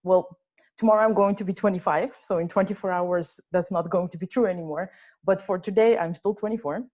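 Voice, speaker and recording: Young woman with a mellow voice. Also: mid-range voice, a little fast. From born in the United States, grew up in Ukraine, Austria and Russia. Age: 20s. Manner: earnest, lightly humorous storytelling. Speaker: club member giving an icebreaker speech. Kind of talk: prepared personal speech. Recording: dull lo-fi sound.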